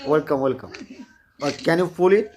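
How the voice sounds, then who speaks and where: A man's voice: fairly high pitch, moderate pace, darkish tone. A man from Bangladesh with a Bangladeshi accent, hosting a casual video chat in simple, repetitive English full of compliments.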